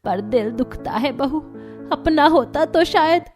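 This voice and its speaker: A woman's voice: high-pitched, lively intonation, measured pace, a little mellow. An older, plain-spoken mother-in-law acted by a woman in a Hindi cartoon story. She is emotional and exclamatory, with faint music behind her voice. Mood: hurt.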